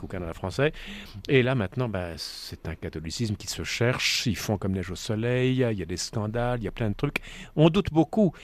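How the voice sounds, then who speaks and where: A man hosting a radio interview, asking long, rambling questions with many restarts. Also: fairly low pitch. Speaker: radio host.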